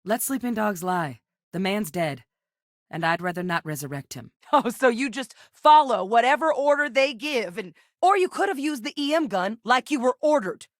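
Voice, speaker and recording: An actress playing a composed, capable female scientist in a game, speaking in a measured, matter-of-fact, dry way. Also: on the high side, animated, dry recording, natural voice. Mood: urgent. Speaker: scientist working alongside the captain. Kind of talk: game character voice lines.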